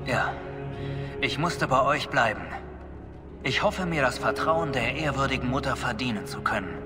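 A man's voice: medium pitch, moderate pace, close to an everyday voice. A man voicing a humbled, resolute former soldier in a video game, speaking formally and sincerely. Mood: earnest, resolute. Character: earnest male ex-soldier and poacher, humbled, resolute. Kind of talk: dramatic video game voice acting. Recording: long reverb, music behind.

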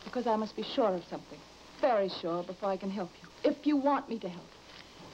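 An actress playing an anxious, devoted and insistent wife in an old-time radio horror drama, pleading in an earnest, dramatic manner. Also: female voice, medium pitch, animated, brisk, darkish tone, natural voice. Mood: probing, firm. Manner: earnest, dramatic, urging with broken, repeated phrases. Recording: dull, noisy old radio broadcast recording.

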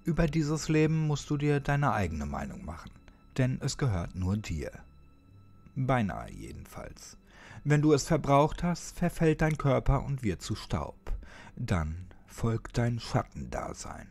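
A man narrating a horror story as a dramatic audiobook, addressing the listener ominously with pauses and occasional near-whispers. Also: mid-range voice, sing-song, a little mellow. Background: music.

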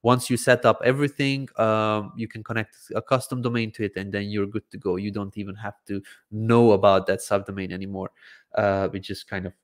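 Casting: man, 30s, from Croatia, native Hungarian speaker, relaxed hesitant live Q&A host, close desk mic. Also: fairly low pitch, a little fast. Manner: relaxed, hesitant with frequent ums and "I believe". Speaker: livestream Q&A host. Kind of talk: casual live Q&A answering viewer questions. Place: home studio, indoors, seated in gaming chair. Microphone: desk microphone in front of face.

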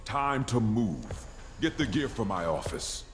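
A man's voice: medium pitch, sing-song, unhurried, a little hiss or hum. An actor voicing a menacing male crime boss in a video game, giving orders to his henchmen. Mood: commanding. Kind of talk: video game villain acting.

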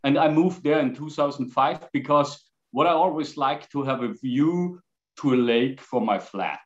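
A man in his forties from Austria, speaking English with an Austrian accent as a guest on a video call, halting with fillers. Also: medium pitch. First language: German. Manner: gestures while talking, halting with fillers. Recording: slightly dull video call audio.

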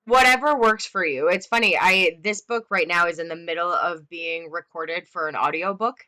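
Author guest, woman in her thirties, low-ish bright voice, lively storytelling on a home video call. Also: moderate pace. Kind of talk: casual video-call interview. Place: home room.

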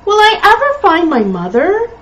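A woman's voice: extremely high pitch, huge pitch swings, normal speed, fairly bright tone, voice pushed up, full character voice. A woman voicing an impetuous, eager little male puppy in a dramatic storybook style. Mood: mournful.

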